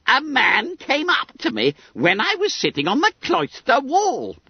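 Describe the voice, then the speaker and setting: A storybook reader voicing a boastful, fat schoolboy, pompous and gleeful, with a squeaky, trilling voice. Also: huge pitch swings, measured pace, vocal fry, dry recording, dull audio, exaggerated voice. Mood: indignant. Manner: pompous, gleeful, muddles long words. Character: boastful, fat, bespectacled schoolboy, smug and indignant.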